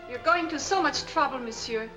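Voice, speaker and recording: Actress as a high-voiced, polite Frenchwoman. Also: normal speed, lightly acted. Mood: polite, hesitant. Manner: polite, formal, pleading. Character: mysterious, polite young Frenchwoman, anxious and pleading. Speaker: film actress. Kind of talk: 1940s film noir acting. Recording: 1940s film soundtrack, music underneath.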